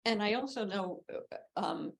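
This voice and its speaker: Gravelly-voiced woman panelist. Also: mid-range voice, unhurried. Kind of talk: casual panel talk.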